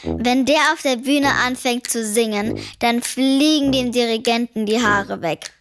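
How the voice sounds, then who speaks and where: A woman's voice: a little high, a little slow, a little hiss or hum, lightly acted. A woman playing a clever, defiant ten-year-old girl in a children's radio play, dry and wordy as she tells the story. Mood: wry, dry. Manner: dry, wry, precociously wordy. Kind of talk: German children's radio play narration and dialogue.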